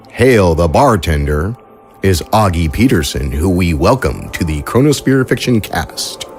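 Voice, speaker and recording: Male narrator, low voice, calm scripted sci-fi storytelling.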